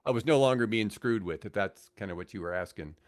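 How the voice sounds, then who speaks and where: An older man with an American accent, telling rambling, animated anecdotes casually as a radio veteran on a podcast. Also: fairly low pitch, fast talker. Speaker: radio host and guest.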